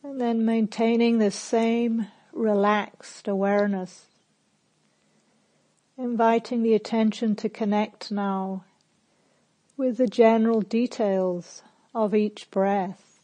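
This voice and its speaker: Slow, mellow woman guiding meditation. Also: fairly high pitch. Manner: calm, gentle, spacious phrasing with long pauses. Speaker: meditation teacher. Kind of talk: guided meditation instruction.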